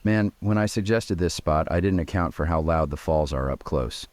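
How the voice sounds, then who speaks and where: Actor as a very deep-voiced teasing dad. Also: male voice, normal speed, a little mellow, lightly acted. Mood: teasing, easygoing. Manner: mock-indignant, easygoing. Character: good-humoured teasing dad at a picnic. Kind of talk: audio drama banter.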